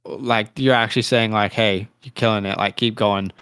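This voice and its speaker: Clear-voiced man in his twenties, chatting. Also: fairly low pitch, fairly even intonation, normal speed, a bit bright, no echo. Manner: informal slang, chummy address. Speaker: co-host of a fantasy football show. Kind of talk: casual livestream chat.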